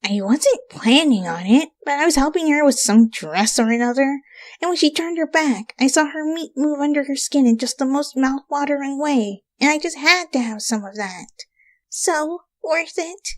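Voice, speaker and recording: A woman's voice: high-pitched, lively intonation, moderate pace, no echo, pitched above the performer's own voice. A woman voicing a brash, lazy, unrepentant young female pony in a story reading, casual and blunt. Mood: smug, satisfied.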